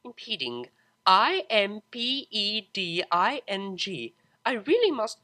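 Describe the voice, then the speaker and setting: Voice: medium pitch, very animated, takes it slowly, bright, forceful tone, pitched above the performer's own voice, exaggerated voice. A performer voicing a refined, awkwardly polite and impatient young mare in an audiobook. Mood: exasperated. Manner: polite, prim, exasperated under courtesy. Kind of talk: audiobook character voice.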